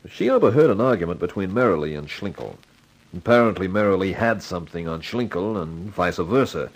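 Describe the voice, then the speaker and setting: An actor playing an excitable, wisecracking employee in a 1950s radio detective drama, blustering and easily cowed. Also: male voice, low voice, brisk, breathy edge, full character voice, low-quality recording. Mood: eager, casual. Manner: indignant bluster sliding into stammering deference.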